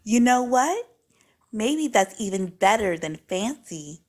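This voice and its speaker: A woman voicing an excitable young girl who loves fancy words, narrating a storybook in a dramatic, precise manner. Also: medium pitch, slow pace. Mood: pleased. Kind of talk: storybook read-aloud, first-person child narrator.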